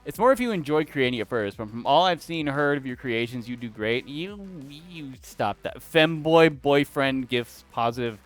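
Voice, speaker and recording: A man's voice: medium pitch, animated, normal speed, hardly any pauses, soft background music. A young man streaming, chatting casually and rambling, often cutting himself off to answer chat. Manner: rambling and self-interrupting, talks back to chat.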